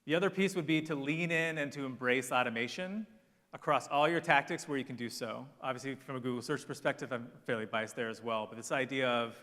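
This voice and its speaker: A man on a conference panel, brisk and confident, with a bright, forceful tone. His voice rings out in a long hall reverb. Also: mid-range voice. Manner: brisk, confident, salesy advice. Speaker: panel speaker.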